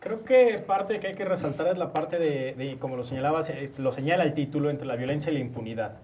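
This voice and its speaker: A man's voice: on the high side, a little fast, darkish tone, slight background noise. A man explains his view as a panelist in a discussion. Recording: distant from the microphone.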